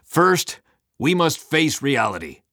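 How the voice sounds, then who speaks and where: A man's voice: on the high side, very animated, takes it slowly, fairly bright tone, no echo, clean studio sound, voice pushed up, exaggerated voice. A man voicing a proud, vengeful devil who commands demons in grandiose, bellowed speeches for a dramatic story. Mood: grim, vengeful.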